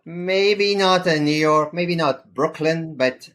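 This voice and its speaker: A man in his fifties, a podcast guest who talks thoughtfully and pauses on fillers. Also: fairly high pitch, fairly bright tone, a little hiss or hum. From South Africa. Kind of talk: podcast interview.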